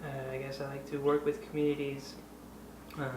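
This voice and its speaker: A man talking reflectively about himself, halting and pausing between short phrases. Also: mid-range voice, monotone. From Oregon and Costa Rica. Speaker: artist and educator. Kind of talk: personal introduction, reflective talk. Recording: echoey, noisy room, distant mic.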